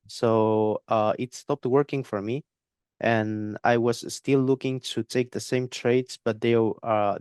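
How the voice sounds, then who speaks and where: Young male guest, clear resonant tone, dry close sound, halting delivery with fillers. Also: medium pitch, a little mellow, no echo. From Spain. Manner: hesitant, repeats words, many fillers. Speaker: guest trader. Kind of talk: interview conversation.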